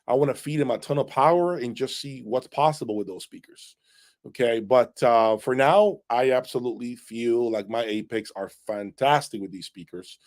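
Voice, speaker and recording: A man's voice: mid-range voice, darkish tone. A man hosting an unscripted audio review livestream, talking in an emphatic, opinionated way and often checking in with "okay?". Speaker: audio equipment reviewer and channel host. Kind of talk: unscripted livestream talk answering viewers' questions.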